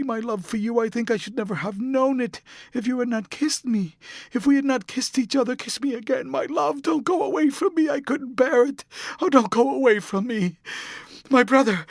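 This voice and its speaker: A man's voice: very high voice, dry recording, studio quality, pitched above the performer's own voice. A male narrator voicing a heartbroken young actress who pleads tearfully with her lover.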